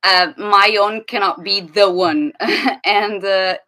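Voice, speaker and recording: A Portuguese woman in her thirties with a Portuguese accent, answering interview questions thoughtfully with many pauses and restarts. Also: mid-range voice, steady tone, sharp tone. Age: 30s. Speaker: actress guest.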